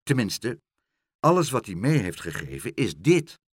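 A man's voice: medium pitch, big pitch swings, unhurried, slightly rough, no echo, clean studio sound. A man voicing a plucky, earnest little boy in a children's storybook.

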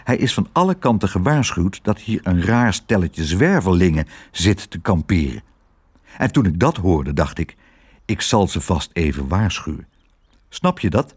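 A man's voice: low-pitched, slightly rough, voice pushed down, exaggerated voice. A man voicing a big male police dog in a children's story, bossy and officious but kind underneath.

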